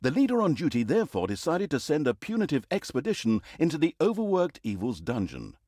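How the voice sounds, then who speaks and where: A theatrical male storyteller narrates a comic fantasy game with arch, droll relish. His fairly high voice swoops dramatically up and down. The delivery is wry and storybook-like, in a dry, close studio sound. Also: huge pitch swings, a little fast, a little mellow, dry recording. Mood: droll, mocking.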